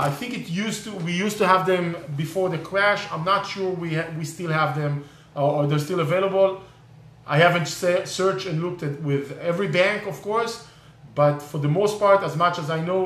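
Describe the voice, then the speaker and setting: A man's voice: fairly high pitch, fairly bright tone, echoey room. A man whose first language is Hebrew hosts a live online session on real estate investing, explaining casually with many fillers and restarts. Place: California.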